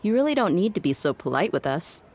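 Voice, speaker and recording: Candid easygoing woman, lowish and lively, music faint behind. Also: fast talker, slight background noise. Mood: reassuring. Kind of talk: video game dialogue.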